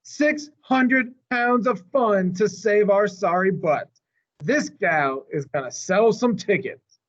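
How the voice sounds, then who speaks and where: Man playing a brash, high-pitched show boss. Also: slow, lo-fi sound. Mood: brash showmanship. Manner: barking, pushy. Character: brash, impatient male show boss, bossy huckster.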